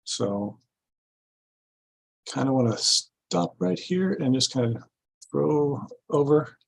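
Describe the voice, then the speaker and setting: A man giving an informational presentation at a public meeting, explaining things step by step in a halting way. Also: medium pitch, lively intonation, gravelly, slightly rough. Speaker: city staff presenter at a grant orientation.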